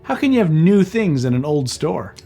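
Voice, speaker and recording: Animated man reading a children's book. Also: on the high side, normal speed, faint music behind. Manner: playful, expressive. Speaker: children's book reader and comedy co-host. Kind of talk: animated read-aloud narration with playful banter.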